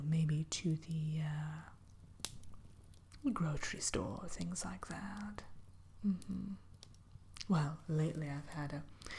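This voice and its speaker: A woman's voice: deep voice, darkish tone, full character voice. A woman playing a kindly, spooky witch innkeeper in a whispered ASMR roleplay, musing hesitantly with coy mischief as she tends to a guest. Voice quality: breathy, whispery.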